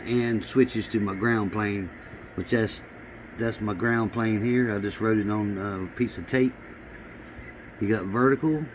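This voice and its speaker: A fairly deep-voiced man rambles plainly through a hobby equipment tour, pausing often as he goes. He is recorded in a room at home, with a muffled sound and noticeable noise behind him. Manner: halting, rambling, plain-spoken. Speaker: hobbyist vlogger showing radio gear. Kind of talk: casual unscripted equipment walkthrough. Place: small home radio room, indoors. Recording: muffled lo-fi audio with background noise.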